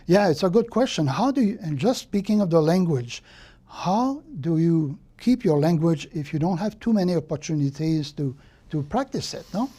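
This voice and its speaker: A man's voice: fairly high pitch, animated, moderate pace, warm tone. An older man from Quebec with a Quebec French accent, a native French speaker telling his life story in an interview and often tagging his points with "no?". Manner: thoughtful, repeats words, ends points with "no?". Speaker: guest.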